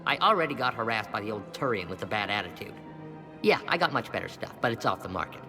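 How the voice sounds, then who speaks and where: Shifty arms dealer in an echoing hall. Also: male voice, on the low side, sing-song, quick pace. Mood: nervous, defensive. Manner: slangy, evasive, salesman-like. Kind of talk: naturalistic game acting. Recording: long hall reverb, loud music behind.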